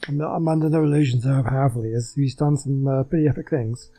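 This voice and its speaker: A man giving casual playthrough commentary on a video game, low-key and a little hesitant. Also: steady tone, fast, warm tone, faint music behind, rough recording. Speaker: gaming video host.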